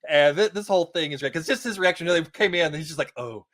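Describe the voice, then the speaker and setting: A man's voice: a little high, quick pace, a bit bright. A man talking casually as a podcast guest, agreeable, enthusiastic and a little wry. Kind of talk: casual TV recap chat.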